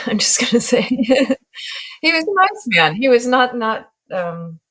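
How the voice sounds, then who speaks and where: A woman's voice: fairly high pitch, big pitch swings, slow, fairly bright tone. A woman in her sixties from Northern California, a guest artist in an interview, talking in a reflective, wry way and laughing at herself. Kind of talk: relaxed interview talk.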